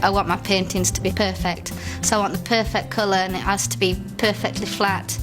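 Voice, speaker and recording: Flat-voiced young woman from London. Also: mid-range voice, a little fast, over music. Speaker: art graduate. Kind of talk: interview to camera.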